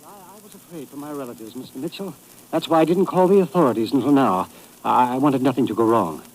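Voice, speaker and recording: A man's voice: mid-range voice, quick pace, lightly acted, poor audio. An actor playing a nervous American man who stammers while telling a government agent about a threat, in a 1950s radio drama. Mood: anxious. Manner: stammering, anxious.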